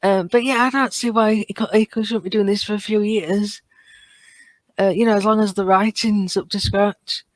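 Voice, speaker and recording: A woman chatting casually as a podcast panellist, choosing her words in a hesitant, measured way. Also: medium pitch, fairly even intonation, no echo.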